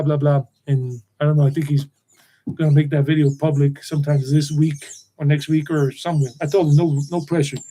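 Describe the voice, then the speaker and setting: Casual live-stream host, man, middle-aged, mellow tone, wanders off topic and repeats details. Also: on the high side, poor audio. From United States. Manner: meandering, repeats himself. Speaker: tour guide and channel host. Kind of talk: rambling live stream chat.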